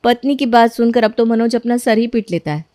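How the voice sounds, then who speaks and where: A woman narrating a scripted family story in an even storytelling manner. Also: fairly high pitch, fairly quick, no echo, clean studio sound.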